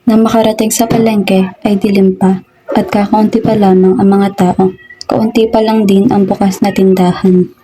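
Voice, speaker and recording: A woman's voice: medium pitch, level tone, moderate pace, slight background noise. A woman narrating a scripted story for a radio drama. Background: loud music.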